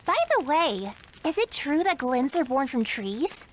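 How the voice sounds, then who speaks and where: An energetic anime game girl, brash and inquisitive. The pitch is very high and lively, over background ambience. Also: unhurried, slight background noise. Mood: curious, teasing. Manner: brash, slangy, direct. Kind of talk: anime video game voice acting.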